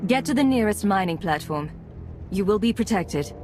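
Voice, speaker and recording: A woman's voice: medium pitch, expressive, normal speed, over music, lightly acted. A woman playing a cool, decisive agent in command in a video game, controlled and authoritative. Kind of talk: video game cutscene acting.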